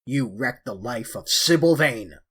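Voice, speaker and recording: A man voicing a grim, vengeful sailor who barks short, loud threats, with a husky voice. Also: mid-range voice, animated, measured pace. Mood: menacing. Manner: loud, threatening, clipped commands. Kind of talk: audiobook character voice.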